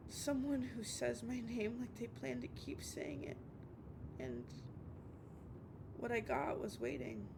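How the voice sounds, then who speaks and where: Woman acting a halting, creaky-voiced heartbroken young woman. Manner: halting, stammering, repeating words. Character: obsessive, heartbroken young woman calling an on-off lover at night. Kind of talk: dramatic audio roleplay monologue. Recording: noisy with low rumble.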